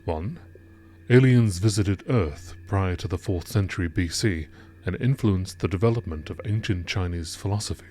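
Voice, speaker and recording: A man with a low-pitched voice narrates in the manner of a nature documentary. He reads from a script, formally and with measured phrasing, like entries in a scientific log. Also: warm tone, a little hiss or hum. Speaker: narrator reading game lore entries.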